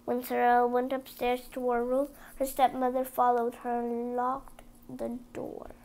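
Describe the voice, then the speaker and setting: A woman's voice: a little high, completely flat delivery, moderate pace, darkish tone. A woman reading a children's story aloud, stumbling now and then over the words. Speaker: storybook reader.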